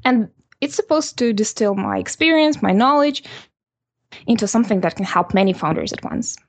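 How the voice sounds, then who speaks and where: A woman's voice: medium pitch, no room sound. Russian-accented young woman, dry and close. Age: 20s. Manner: steady, businesslike explaining with soft "mm" pauses. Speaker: guest, designer and author. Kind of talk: interview answers over a call.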